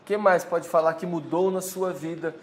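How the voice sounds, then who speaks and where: A man's voice: a little high, fairly even intonation, normal speed. A Brazilian man teaching a church class, prompting the group with questions. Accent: Brazilian Portuguese. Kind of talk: leading a church lesson discussion.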